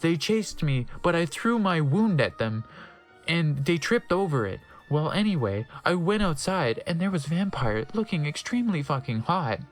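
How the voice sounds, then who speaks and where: Higher-voiced man doing a sardonic dramatic reading. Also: big pitch swings, moderate pace, faint music behind. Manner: sardonic, theatrical, breaks off to comment. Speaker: dramatic reader of fan fiction. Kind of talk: comedic dramatic reading with asides.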